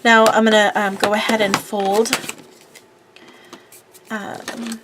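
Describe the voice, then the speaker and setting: A woman's voice: medium pitch, little pitch movement, slow pace, noisy background. A woman with a congested voice from a cold, chatting casually and rambling with fillers while working at her craft desk. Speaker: papercraft video host.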